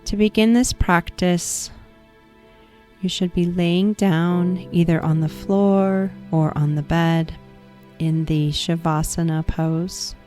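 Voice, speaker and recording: A woman guiding a yoga nidra relaxation practice in a calm, soothing, instructive manner. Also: lowish voice, slow pace, soft background music. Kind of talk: guided meditation.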